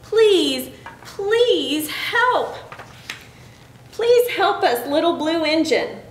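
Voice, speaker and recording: A woman voicing a little male toy clown and his doll friends, eager and excitable, in a children's storybook reading. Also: sits high, normal speed. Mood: pleading. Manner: pleading, excitable. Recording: echoey room with faint noise.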